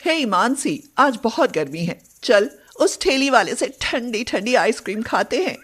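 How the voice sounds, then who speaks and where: A woman's voice: fairly high pitch, expressive, a little slow, a bit bright, slightly rough, exaggerated voice. A woman voicing an easygoing, fun-loving young rich friend with a breezy, casual manner. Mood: coaxing.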